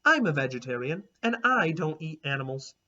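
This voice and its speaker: A man reading aloud and voicing a spunky, stubborn eight-year-old girl in an emphatic, high child voice. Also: big pitch swings, normal speed, pushed and bright, slight background noise, exaggerated voice. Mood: earnest. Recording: dull lo-fi recording.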